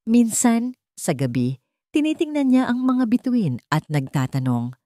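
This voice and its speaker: A woman narrating a scripted love story in a dramatic, measured storytelling manner. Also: a little high, big pitch swings, dark tone, no echo, clean studio sound.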